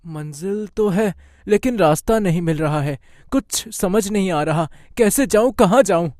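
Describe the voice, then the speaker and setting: A man's voice: sits high, expressive, unhurried, darkish tone, no room sound, studio quality. A man voicing a troubled, dutiful young son in a moral-story cartoon, earnest and worried. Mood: dejected.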